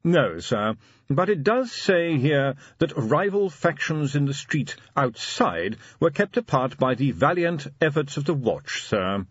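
Man acting a formal, unflappable butler, lilting pitch. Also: sing-song, husky, no echo, dull audio, heavily acted. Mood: deferential. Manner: precise, measured, politely dry. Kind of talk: audiobook character acting.

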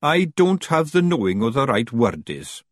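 A man's voice: medium pitch, big pitch swings, moderate pace, no echo, studio quality, pitched above the performer's own voice. A man voicing a tiny, nervous, cheeky fairy man, wheedling and squirming. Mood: sheepish.

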